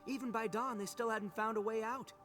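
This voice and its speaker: A man's voice: high-pitched, fairly even intonation, brisk, close to an everyday voice. A man voicing a brash, good-natured young oni gang leader in a video game, boastful and theatrical. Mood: dramatic, confident. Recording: game music behind the voice.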